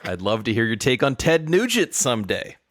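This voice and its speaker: A man's voice: mid-range voice, very animated, brisk, fairly bright tone, no echo, clean studio sound. A man co-hosting a podcast in casual chat, reading listener mail aloud with a wry, teasing manner. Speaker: podcast co-host.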